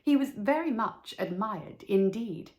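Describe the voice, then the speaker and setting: A woman narrating a children's story from a script, with measured, deliberate phrasing. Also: medium pitch, lively intonation, a little mellow. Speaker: storybook reader.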